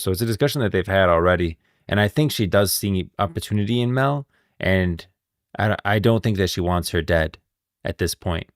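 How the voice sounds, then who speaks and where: A man's voice: sits low, no room sound, clean studio sound. A man giving casual, opinionated live-stream commentary, thinking aloud with emphasis.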